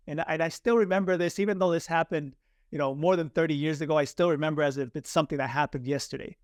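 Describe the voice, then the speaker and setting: A man in his forties, raised first in Chile with Spanish as his mother tongue, recounts a childhood memory as a podcast guest. His voice sits a bit high and he animates the tale by putting on the voices of others. The sound is dry and close, with no room around it. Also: no room sound. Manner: animated, acts out quoted voices. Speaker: guest, communication coach. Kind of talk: storytelling in a podcast interview.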